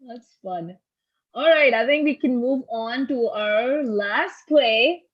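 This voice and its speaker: A woman's voice: on the high side, animated, slow, breathy edge. Woman moderating, dull call audio. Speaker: moderator of a script table read. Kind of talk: casual feedback discussion. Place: online video meeting.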